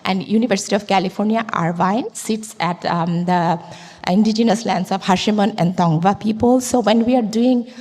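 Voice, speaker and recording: A woman from Bangladesh speaking on a conference panel about climate, her pitch mid-range. She talks earnestly and sometimes restarts her words. A long reverb rings through the hall. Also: moderate pace, a little mellow.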